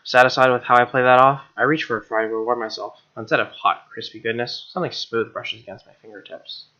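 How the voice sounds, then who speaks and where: A man's voice: medium pitch, steady tone, fast, bright, forceful tone. A young man streaming a game, reading a visual novel aloud with casual reactions, recorded on a lo-fi microphone. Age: young adult. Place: small room indoors. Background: game music.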